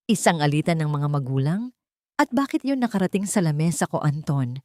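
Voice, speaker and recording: A woman's voice: low-pitched, sing-song, fairly quick, warm tone, no echo, studio quality. A woman voicing a stern, emotionless, curt male tycoon in an audio story. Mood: stern, annoyed. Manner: hard, curt.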